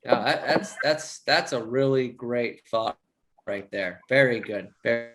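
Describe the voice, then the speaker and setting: A man's voice: mid-range voice, measured pace, background noise. Encouraging man hosting a coaching call. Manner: encouraging, repeats praise. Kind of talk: casual chat.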